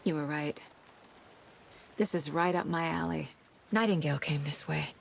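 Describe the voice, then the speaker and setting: A low-voiced, composed female detective. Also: normal speed, gravelly, exaggerated voice. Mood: focused, intrigued. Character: composed, intuitive woman FBI agent investigating a murder. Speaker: lead detective character. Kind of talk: video game acting.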